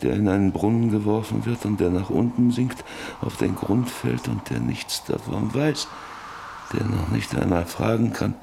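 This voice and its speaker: Old bitter rancher, radio drama, deep, gravelly, halting, lonely, faint hiss. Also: male voice, mellow. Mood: brooding, lonely. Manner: brooding, halting, repeating words. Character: old, lonely, bitter rancher looking back on his life. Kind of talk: German radio drama acting.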